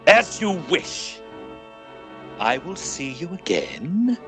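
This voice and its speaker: Man acting a slow, high-voiced oily villainous suitor. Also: huge pitch swings, music in the background, exaggerated voice. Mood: coldly persuasive. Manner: florid, formal melodramatic pleading. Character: villainous, scheming male suitor, oily and insistent. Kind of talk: old-time radio melodrama acting.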